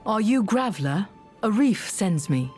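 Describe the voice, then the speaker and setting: A woman's voice: medium pitch, very animated, unhurried, darkish tone, faint music behind. An actress playing a determined young woman rebel in a video game, earnest and subdued. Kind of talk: video game cutscene acting.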